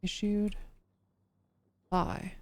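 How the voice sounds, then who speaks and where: A woman's voice: very slow, dry recording. A tired woman live-streaming while coding, who swears freely and mutters to herself as she works, her voice worn down. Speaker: livestreamer.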